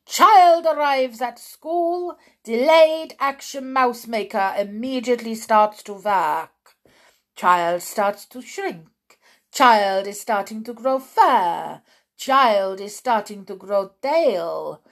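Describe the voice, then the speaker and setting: A woman voicing a domineering old witch villain in a storybook, theatrical and deliberate, in broken English. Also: on the high side, animated, fairly bright tone, pitched above the performer's own voice, full character voice. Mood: triumphant. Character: gloating, domineering old Grand High Witch, foreign-sounding villain.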